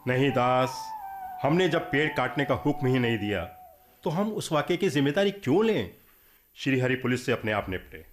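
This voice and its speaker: A man's voice: medium pitch, lively intonation, moderate pace, soft background music, close to an everyday voice. A man playing a lordly, weary landlord. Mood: stern, displeased. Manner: authoritative, deliberating. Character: weary, lordly landlord, irritated by estate troubles. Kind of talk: Hindi radio drama acting.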